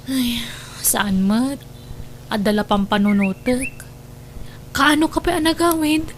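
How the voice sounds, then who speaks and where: Woman playing a high-pitched, discontented Ilocano young wife. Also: unhurried, slight background noise, natural voice. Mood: downcast. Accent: Ilocano Filipino. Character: discontented, worried young wife, childless and complaining. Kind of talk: Ilocano audio drama acting.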